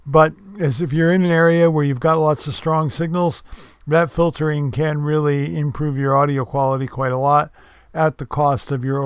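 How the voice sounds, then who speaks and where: Casual man reviewing gear, slightly high voice. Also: background noise. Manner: informal, explanatory. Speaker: product reviewer. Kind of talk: casual product review narration.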